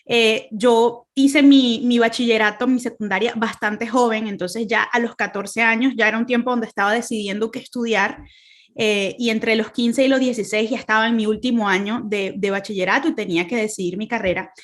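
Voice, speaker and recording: A woman with a Caracas Venezuelan accent speaks over a video call, her voice sitting a little high. She talks earnestly, pausing often with fillers and starting her sentences again. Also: fairly even intonation. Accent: Venezuelan Spanish, Caracas. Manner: earnest, many fillers and restarts. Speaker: guest speaker. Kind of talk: online faith talk.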